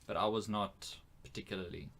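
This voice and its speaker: A man's voice: lowish voice, fairly even intonation, a little fast, vocal fry, fairly bright tone. A man co-hosting a casual film podcast, thinking aloud in an informal, tentative way. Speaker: podcast co-host.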